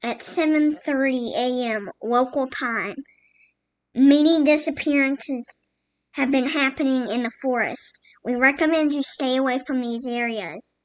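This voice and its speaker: A woman reading a scripted mock emergency broadcast as an announcer, in a formal, halting manner. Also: sits high, poor audio.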